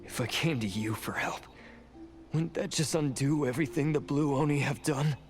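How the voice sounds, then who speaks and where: A man's voice: a little high, moderate pace, natural voice. An actor playing a guilt-ridden young male blue oni, anxious and pleading, in a video game. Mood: doubtful. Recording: game audio with music behind.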